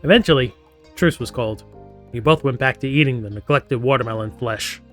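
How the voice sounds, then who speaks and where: A man's voice: medium pitch, a little fast, a bit bright, faint music behind. A man hosting a gaming live stream, playfully reading story text aloud and breaking off into theatrical, jokey asides.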